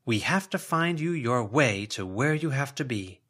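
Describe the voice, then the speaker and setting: A man's voice: medium pitch, expressive, measured pace, fairly bright tone, breathy edge, pitched above the performer's own voice. Male reader as melodramatic young dreamer, intense and poetic, voice swooping upward, storybook character. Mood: sorrowful. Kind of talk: audiobook character voice.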